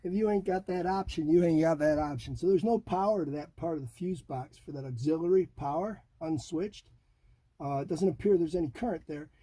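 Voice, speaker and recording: A man's voice: a little high, fairly quick, warm tone, dry recording, low-quality recording. A middle-aged man thinking aloud in a folksy, casual way as he narrates a hands-on how-to job inside a vehicle. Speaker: DIY car project host. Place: inside a vehicle cabin, doors open. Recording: clear background noise, handling clicks.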